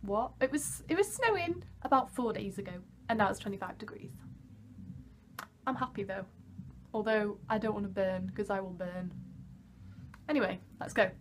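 A woman's voice: mid-range voice, normal speed, long pauses, a little hiss or hum. A woman in her early twenties from Wales, chatting to camera in her bedroom, giggly and self-deprecating. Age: early 20s. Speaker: vlogger. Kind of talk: casual chat to camera. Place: bedroom, indoors. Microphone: camera at arm's length.

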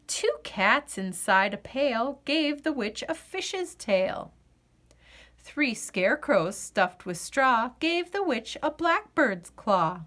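Very slow, lively woman storyteller. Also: on the high side. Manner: deliberate, expressive sing-song rhyming delivery. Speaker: storyteller reading a picture book aloud. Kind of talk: children's story read-aloud.

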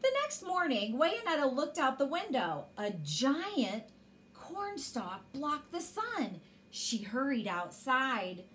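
A woman's voice: sits high, sing-song, slow pace, fairly bright tone, a little hiss or hum. A woman in her forties reading a children's story expressively as a storyteller, indoors.

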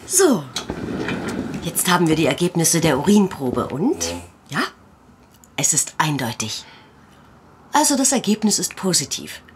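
Matter-of-fact doctor, animated intonation. Audio drama, slight ambient noise. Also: female voice, on the low side, a little slow, pauses often. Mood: businesslike. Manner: professional, direct. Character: matter-of-fact female doctor addressing a patient. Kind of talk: naturalistic audio drama acting.

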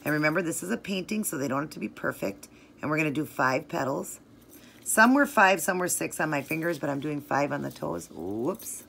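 A woman's voice: low-pitched, expressive, brisk, creaky, fairly bright tone, a little hiss or hum. A middle-aged woman hosting a nail art tutorial, chatting eagerly through each step and gushing about colors. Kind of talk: casual step-by-step tutorial narration.

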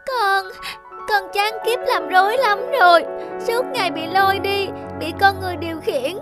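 An actress playing a weary, sorrowful young puppet who longs to be human, speaking plaintively in a cartoon. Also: female voice, very high voice, normal speed, over loud music. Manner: plaintive, dejected. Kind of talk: scripted cartoon dialogue.

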